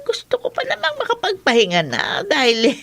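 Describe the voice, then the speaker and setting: Older Filipino mother-in-law for radio drama: spiteful, melodramatic, sharp forceful tone, swooping pitch. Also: huge pitch swings, fairly quick, exaggerated voice. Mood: grumbling, weary. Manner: scornful, exclamatory, melodramatic. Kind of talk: Philippine radio drama acting.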